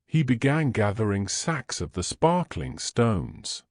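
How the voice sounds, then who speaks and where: Male narrator, story fiction, lowish pitch, lively intonation. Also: slow, no room sound, studio quality. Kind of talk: scripted audio fiction narration.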